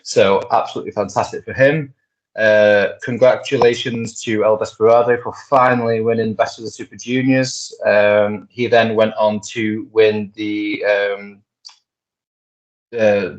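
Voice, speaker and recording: A man's voice: lowish voice, level tone, measured pace. A man co-hosting a wrestling podcast, rambling casually with lots of fillers and restarts. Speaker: podcast co-host. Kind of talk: casual wrestling news chat. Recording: muffled lo-fi podcast audio.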